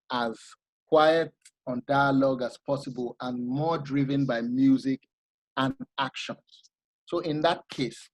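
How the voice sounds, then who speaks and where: A man leads a masterclass in an animated, explanatory way. His voice is husky and slightly breathy, and the sound is dry and close. He often stops and restarts his sentences. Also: medium pitch, dry recording, low-quality recording. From Nigeria. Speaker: masterclass speaker. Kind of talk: informal talk at a masterclass.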